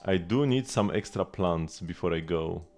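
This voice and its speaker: A man with a fairly low voice talks through a game on a livestream. His delivery is casual and offhand, as if thinking aloud for his viewers. Faint music plays behind him. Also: animated, moderate pace, darkish tone. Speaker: live game streamer. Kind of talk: casual gaming livestream commentary, chatting with viewers.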